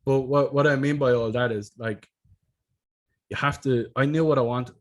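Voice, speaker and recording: A man's voice: medium pitch, fairly even intonation, moderate pace. An Irish man in his thirties, a podcast host telling his story in a casual, blunt and sweary way over a video call with a desk mic. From Ireland. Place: home room on a video call. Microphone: desk microphone on a video call.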